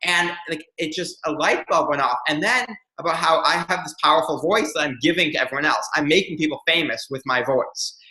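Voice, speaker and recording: A man's voice: a little high, fairly quick, few pauses, sharp tone, reverberant, poor audio. A young man speaking as an interview guest, telling a personal story in an earnest, reflective way with frequent ums.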